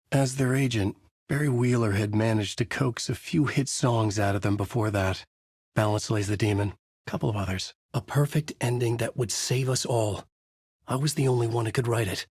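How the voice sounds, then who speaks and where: A man playing a brooding, haunted novelist in a video game, narrating his horror story in a grave, measured, dramatic way with a husky voice. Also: on the low side, a little mellow, no echo, clean studio sound. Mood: reflective, grim. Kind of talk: scripted noir horror narration, inner monologue.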